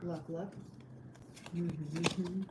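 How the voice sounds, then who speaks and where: A woman making casual, playful asides as the host's companion, quiet and away from the mic. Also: fairly low pitch, steady tone, very slow, slight background noise, poor audio. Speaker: off-camera companion of the host.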